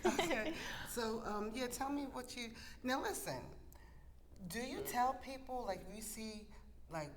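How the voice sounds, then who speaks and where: Animated, playful woman hosting a talk show. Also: on the high side, measured pace, a little hiss or hum. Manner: playful, blunt, teasing, colloquial. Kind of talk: casual, joking talk show chat.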